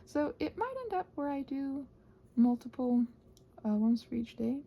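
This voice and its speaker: A woman rambling casually as a video host, thinking aloud and trailing off, her voice husky and breathy and often sinking toward a whisper. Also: high-pitched, animated, moderate pace, muffled recording. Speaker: video host and ASMR creator. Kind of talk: casual rambling craft chat.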